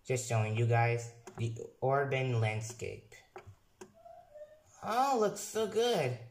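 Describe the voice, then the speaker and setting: A young man who is not a native English speaker, casually reviewing software on his computer and reading text aloud haltingly, restarting and correcting words. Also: medium pitch, very animated, roomy sound, slight background noise. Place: room at a computer.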